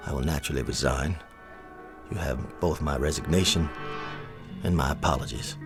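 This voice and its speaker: A man playing a proud, aristocratic colonel in a radio drama, bitter and brought low, speaking in a formal, halting, pompous way. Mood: bitter, humbled. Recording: music playing under the voice.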